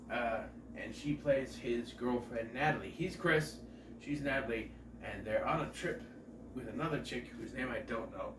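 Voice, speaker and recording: A man in his thirties, stuffed up and nasal from a cold, giving a chatty, joking movie review full of asides and self-corrections. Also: medium pitch. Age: 30s. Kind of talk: casual rambling film review. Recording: echoey room, distant mic, noisy background.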